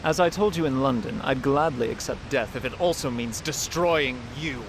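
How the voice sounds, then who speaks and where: An actor playing an eloquent, defiant Victorian detective in a radio drama, formal, theatrical and pompous. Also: male voice, mid-range voice, moderate pace, background noise, natural voice. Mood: cool, smug. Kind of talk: melodramatic radio drama acting.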